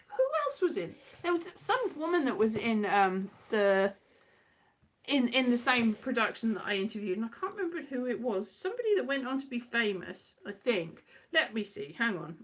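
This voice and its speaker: Woman from Wales, middle-aged, bright forceful tone, very animated intonation, scatty casual rambling, digressive, thinking out loud. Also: a little high, moderate pace. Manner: scatty, digressive, thinking aloud, muttering asides to herself. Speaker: writer and performer, host.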